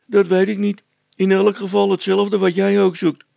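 A man's voice: sits high, monotone, moderate pace, vocal fry, a little mellow, dry recording, pitched above the performer's own voice. A man voicing a cocky young poacher boy in a story, cheeky, stubborn and boastful, with colloquial speech. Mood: defiant.

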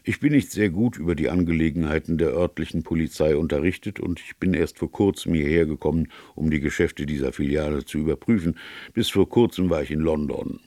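A man's voice: rumbling low, brisk, warm tone, dry recording, clean studio sound. A man voicing a courteous but frosty old upper-class banker in a storybook reading, cool and reserved. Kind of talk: audiobook character dialogue.

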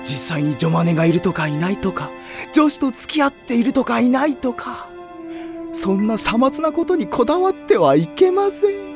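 Brief: gullible, lovestruck high-school boy, very animated theatrical delivery, anime drama with music behind. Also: moderate pace, heavily acted. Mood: serene, pious. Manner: melodramatic, swings between mock-saintly politeness and yelling. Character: excitable, gullible teenage boy longing for a girl. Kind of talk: Japanese anime audio drama acting.

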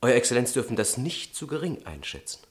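An actor playing a polite, persuasive young student who serves as a bodyguard in a German radio drama, deferential and measured. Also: male voice, mid-range voice, big pitch swings, slightly rough, no echo. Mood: urging, deferential. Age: young adult.

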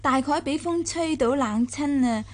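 A woman's voice: sits high, measured pace. A woman playing a worldly, assured young mistress of a wealthy household in a radio drama, sociable. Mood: explaining. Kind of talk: Cantonese radio drama acting.

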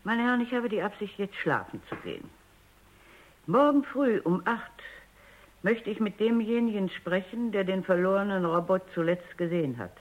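A woman's voice: medium pitch, expressive, moderate pace, natural voice. An actress playing an authoritative, exacting female robot expert in a German radio drama, speaking formally and precisely. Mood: firm, demanding. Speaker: narrator and investigator. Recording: slightly dull radio recording.

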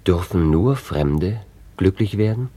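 An actor playing a gentle, melancholy fiancé in a German radio play, speaking in a subdued, tender and patient way. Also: male voice, deep voice, lively intonation, slow, no echo, heavily acted. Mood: tender, puzzled.

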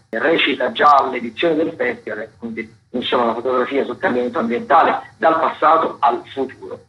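A man hosting radio, presenting arts listings in a halting, repetitive way full of fillers. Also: mid-range voice. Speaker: radio host. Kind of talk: radio arts listings commentary, loosely read. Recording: dull lo-fi radio broadcast.

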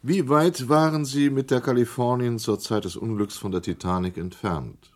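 An actor playing a male committee chairman in a radio drama who swears in witnesses and questions them in a measured, official tone. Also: medium pitch, lightly acted. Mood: formal. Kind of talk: 1980s radio drama acting.

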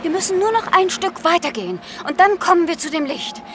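A troubled, halting middle-aged actress. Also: female voice, very high voice, expressive, slight background noise. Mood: urgent, wistful. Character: troubled actress Ellie, haunted by family loss, stubbornly self-reliant. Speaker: actress in a radio crime drama. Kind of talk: German radio play acting.

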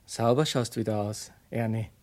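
A man's voice: fairly low pitch, fairly even intonation, normal speed, a little mellow, lightly acted. An actor playing an earnest, dutiful rookie policeman in his twenties with a Viennese accent in a radio play. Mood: smitten.

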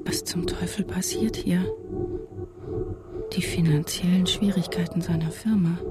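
A woman's voice: on the low side, fairly even intonation, unhurried, in a whisper, lightly acted. An actress in a radio drama playing a tense, defiant wife of a missing man, speaking in a controlled, insistent way. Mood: hushed, suspicious. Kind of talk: radio drama acting with inner monologue narration. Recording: studio radio play with music underneath.

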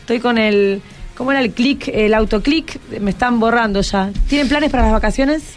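High-voiced Rioplatense Argentine woman hosting radio. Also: moderate pace. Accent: Rioplatense Argentine Spanish. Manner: encouraging, informal, asks many short questions. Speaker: radio host. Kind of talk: casual interview chat. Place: radio programme recording. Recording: music playing under the voice. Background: music.

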